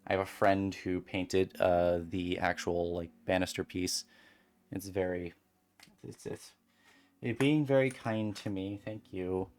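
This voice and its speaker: Low, soft-spoken calm streamer. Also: a little slow. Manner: soft, calming, rambling with many fillers. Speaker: crafting streamer. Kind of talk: casual livestream chat while beading.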